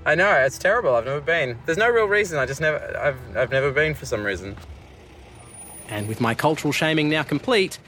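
A man's voice: fairly high pitch, expressive, brisk, a bit bright, slight background noise. A man hosting and narrating a documentary in a wry, self-deprecating way. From Australia. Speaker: host and reporter.

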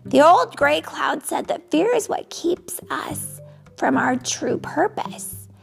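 A woman voicing a friendly, adventurous cloud in a children's storybook, speaking softly and calmly. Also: high-pitched, slow pace, gravelly, faint music behind, voice pushed up, exaggerated voice. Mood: wise. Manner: soft, calm, encouraging.

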